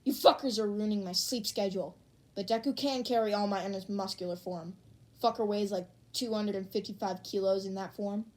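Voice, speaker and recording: A woman voicing a hot-tempered, foul-mouthed teenage boy hero student in a gruff, combative manner for a fan fiction reading. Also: medium pitch, fairly even intonation, brisk, a little mellow, close to an everyday voice. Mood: irritated, grumbling.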